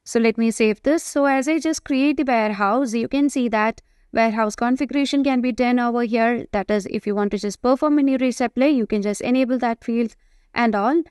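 Female tutorial instructor, higher-pitched voice, hesitant step-by-step explaining, dry close-miked voice-over. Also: darkish tone, clean tone, dry recording. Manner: explanatory, halting delivery with frequent hesitations. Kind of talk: screen-recorded tutorial narration, semi-improvised.

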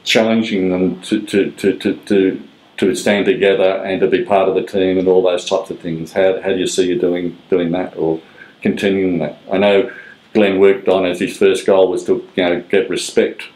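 A man's voice: low-pitched, little pitch movement, normal speed, a little mellow. An older man, interviewing in rambling, hesitant questions. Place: small room with gray wall, seated at table. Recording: roomy echo.

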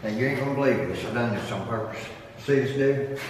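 A man's voice: medium pitch, fast talker. A man with a Southern US accent working as a tour guide, casually telling stories to visitors. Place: large concrete prison cell block. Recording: echoey hall, distant from camera, noisy.

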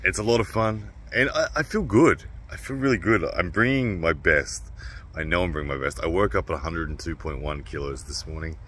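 A man's voice: deep voice, brisk, a bit bright, a little hiss or hum. A man in his thirties talking casually to a phone camera held at arm's length outdoors, upbeat and chatty with lots of fillers. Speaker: armwrestler vlogger. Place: outdoors by a car park.

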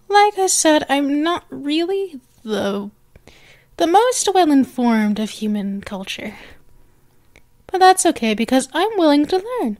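Woman acting a high-voiced, possessive snake girl. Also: full character voice. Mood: shy, earnest. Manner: coaxing, hesitant, trailing off and restarting. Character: possessive, eager young female lamia, a snake girl monster. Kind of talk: ASMR roleplay acting.

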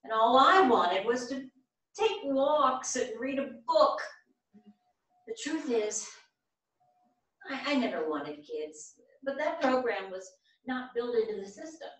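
Actress as blunt elderly mother in stage drama, wry and candid, higher voice, distant in a reverberant theatre. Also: lively intonation, slow, pauses often, a bit bright. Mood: regretful, candid. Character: blunt, regretful elderly mother of six named Pat. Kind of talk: staged play reading. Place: small theatre stage, seated at music stand. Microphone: far camera. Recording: very echoey theatre stage from far off.

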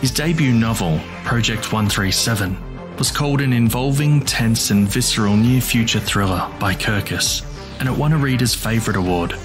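A man's voice: mid-range voice, moderate pace. Man reading podcast credits over music. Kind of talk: scripted announcement.

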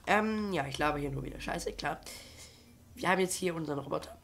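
A man's voice: fairly high pitch, fairly quick, gravelly, fairly bright tone. A young man with a cold, rambling in casual let's-play commentary with many fillers and restarts. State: has a cold. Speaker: gaming YouTuber.

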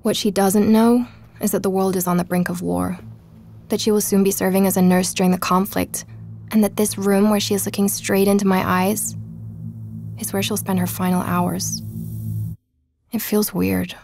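An actress playing an inquisitive, persuasive woman podcaster in an audio drama, narrating and chatting in a measured, natural way. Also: mid-range voice, flat delivery, gravelly, a little mellow, soft background music, close to an everyday voice. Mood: reflective. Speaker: podcast narrator and lead character.

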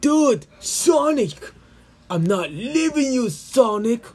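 A man acts out a protective cartoon dad pleading at the top of his voice. It is high, slow and desperate, with big pitch swings. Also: sing-song, breathy edge, full character voice. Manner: pleading, shouting. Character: desperate protective adult father, casual-talking man.

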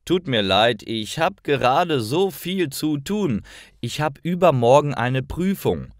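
A man's voice: mid-range voice, big pitch swings, slow, no room sound, studio quality, pitched above the performer's own voice. A man voicing a stressed young male student in a storybook, apologetic and emphatic.

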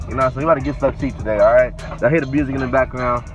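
Young man, casual vlog chat, slangy, relaxed, phone at arm's length, outdoors, loud music behind. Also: medium pitch, rapid-fire, dull audio. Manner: laid-back slangy talk, lots of filler phrases. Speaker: vlogger. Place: outdoor swap meet. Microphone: phone held at arm's length.

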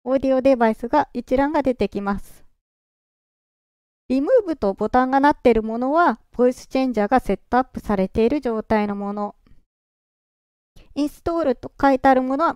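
A presenter walking through software setup step by step in a calm, explaining way, their voice run through a pitch-raising voice changer. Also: clear, resonant tone, dry recording, clean studio sound. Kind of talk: screen-recorded software walkthrough.

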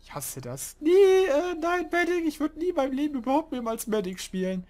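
A young man hosting a casual let's play, chatting and grumbling loudly about teammates as he plays. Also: very high voice, sing-song, fairly quick. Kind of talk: casual gaming commentary.

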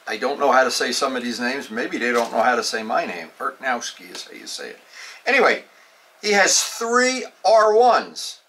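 A man's voice: medium pitch, big pitch swings, moderate pace, sharp tone. An older man hosting a motorcycle question-and-answer video, talking casually to camera in a folksy, rambling way with frequent restarts. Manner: rambling, self-correcting, folksy. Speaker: motorcycle restoration YouTuber.

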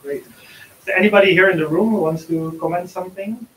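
A man's voice: sits high, brisk, a bit bright. Man speaking hesitantly in a roomy space. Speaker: author and course teacher. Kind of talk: answering questions in a book review session. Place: room with an audience, on a video call. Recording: roomy, distant mic with faint hiss.

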